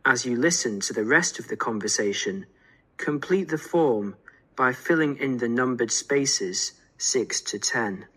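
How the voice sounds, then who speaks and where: A man reading formal scripted test instructions as an exam announcer, in a measured, instructional way. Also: medium pitch, a bit bright, breathy edge, poor audio.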